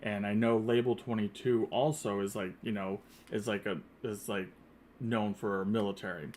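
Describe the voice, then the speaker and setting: A man's voice: fairly low pitch, steady tone, normal speed, darkish tone, a little hiss or hum. A man hosting a podcast interview, talking casually and rambling with many fillers and restarts. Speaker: podcast host. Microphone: earpiece headset.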